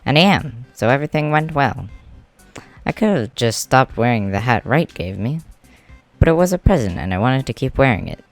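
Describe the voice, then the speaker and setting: A man's voice: medium pitch, very animated, normal speed. A man playing a stubborn, polite, workaholic clan leader in an amateur comic dub, talking in an easygoing, emphatic way. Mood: explaining, proud. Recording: music behind the voice.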